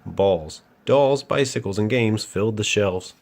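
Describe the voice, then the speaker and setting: A man's voice: on the low side, a little slow, vocal fry, a little mellow. A man reading a children's picture book aloud as a storyteller and host.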